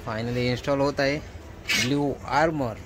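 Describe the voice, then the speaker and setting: A man's voice: medium pitch, steady tone, moderate pace. A man giving casual, informal vlog commentary, mixing in bits of English and Hindi. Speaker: vlogger host.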